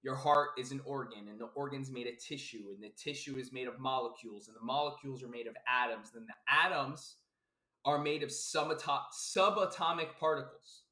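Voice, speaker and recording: A man's voice: mid-range voice, brisk, bright, forceful tone. Emphatic life coach man, roomy sound. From South Florida, US. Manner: emphatic and repetitive, casual swearing, many fillers. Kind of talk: casual philosophical podcast monologue. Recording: roomy echo.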